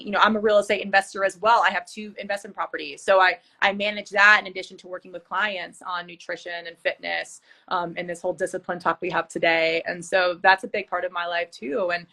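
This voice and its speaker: A woman in her thirties with a bright, forward voice talks fitness as a podcast co-host. She is chatty and enthusiastic, and her casual talk is full of fillers like "you know" and "like.". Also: medium pitch. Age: 30s. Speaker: fitness and nutrition coach, co-host.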